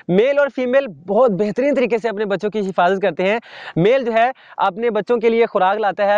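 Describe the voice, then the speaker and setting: Young male nature presenter, very high voice, dry outdoor sound, measured explainer. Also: darkish tone, no room sound, muffled recording. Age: young adult. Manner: measured, teacherly, addresses viewer directly. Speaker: wildlife channel host. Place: open sandy ground outdoors.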